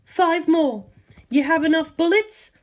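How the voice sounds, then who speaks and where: A reader voicing a cool, curt young woman warrior who speaks calmly in short lines in an audiobook. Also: very high-pitched, a little slow, pitched above the performer's own voice. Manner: calm, clipped and terse. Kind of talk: audiobook character voice.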